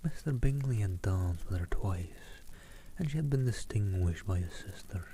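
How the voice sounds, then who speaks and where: A man from the Netherlands reading a novel aloud in a soft, hushed ASMR style on a close microphone. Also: deep voice, animated, normal speed, slight background noise. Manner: soft, hushed, often whispered.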